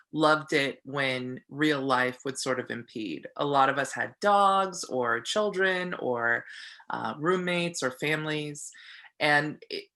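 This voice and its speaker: An actor talking reflectively in an interview about their work, pausing and restarting along the way. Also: medium pitch, pushed and bright. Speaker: actor in an audio play. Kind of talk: interview testimonial.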